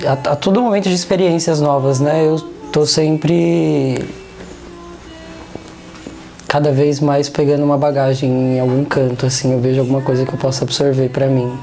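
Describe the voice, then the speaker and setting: Mellow-voiced woman, thinking aloud. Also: deep voice. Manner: thoughtful, searching for words. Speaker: documentary interviewee. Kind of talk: reflective documentary interview.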